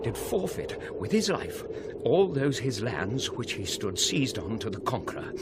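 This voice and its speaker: A British man acting a scholarly, sceptical gentleman shaken by awe, in theatrical, measured Shakespearean radio drama style. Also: medium pitch, very animated. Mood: confiding, grave. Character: sceptical scholarly male friend of a prince, shaken and awed. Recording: noisy 1990s radio drama with ambience.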